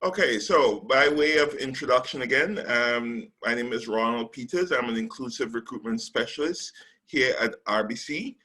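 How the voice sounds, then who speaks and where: A man from Trinidad and Tobago, a recruitment specialist, giving a measured webinar presentation with frequent ums and pauses over a call microphone. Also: medium pitch, animated, pushed and bright, husky, poor audio.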